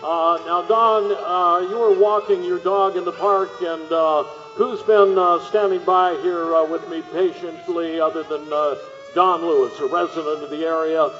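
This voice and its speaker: A man playing a rattled news reporter live on the scene in a radio drama, halting and stammering with agitation. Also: a little high, steady tone, fairly bright tone, lightly acted. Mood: flustered. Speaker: field reporter. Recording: dull phone report, long reverb, ambient noise.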